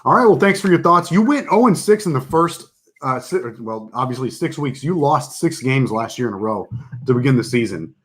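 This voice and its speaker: A man with a deep voice, casually hosting a fantasy football draft stream, joking and rambling with lots of fillers. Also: animated, fairly quick. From Texas. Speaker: fantasy football league host. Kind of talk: casual live stream chat.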